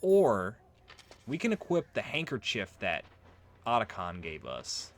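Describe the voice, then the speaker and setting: A man's voice: fairly low pitch, huge pitch swings, a little slow. A man giving casual, rambling let's play commentary, explaining things and drifting off on tangents. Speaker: let's player, video game commentator. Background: video game music.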